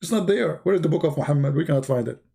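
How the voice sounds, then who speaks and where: Male live stream host, mellow dark tone, gravelly rasp, sarcastic, repetitive, off-the-cuff. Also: on the high side, expressive, racing. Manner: teasing, sarcastic, repeats phrases. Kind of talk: rambling religious debate live stream chat.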